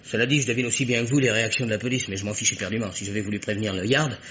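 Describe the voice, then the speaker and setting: A man's voice: lowish voice, steady tone, very fast, background noise, close to an everyday voice. An actor playing a stubborn, agitated man under suspicion, defiant and urgent, in a radio mystery play.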